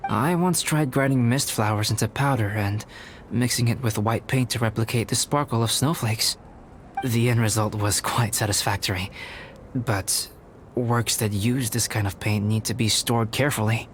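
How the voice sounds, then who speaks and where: A man voicing a composed, scholarly young male alchemist in a video game, formal, measured and thoughtful. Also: on the low side, slight background noise, lightly acted. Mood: calm, helpful.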